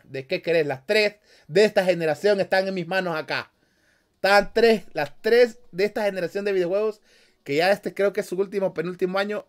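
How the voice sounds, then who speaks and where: A young man with a Honduran accent, chatting casually on a livestream from a small bedroom, reading comments with jokes, slang and frequent laughs. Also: on the high side. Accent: Honduran Spanish. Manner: joking, slangy, laughs often. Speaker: streamer. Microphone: headset with desk mic.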